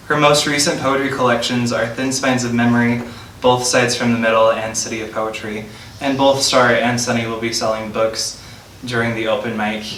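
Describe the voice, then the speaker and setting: Male event host, bright tone, reading prepared introduction, echoey room with faint noise. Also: medium pitch. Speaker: host introducing a featured poet. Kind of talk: reading a scripted introduction aloud. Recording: roomy, distant mic, faint noise.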